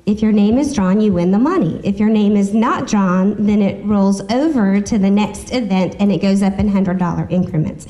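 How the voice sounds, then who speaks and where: A woman's voice: medium pitch, moderate pace, few pauses, mellow, poor audio. A woman chatting to a group as she makes informal announcements as host of a business meeting. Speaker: chamber of commerce host.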